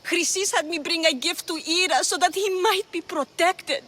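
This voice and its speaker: A devout young mother speaks with fervent, trusting tenderness in a game drama. Her voice is high and very bright, with faint ambience behind it. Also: normal speed. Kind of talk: dramatic video game acting. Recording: faint background ambience noise.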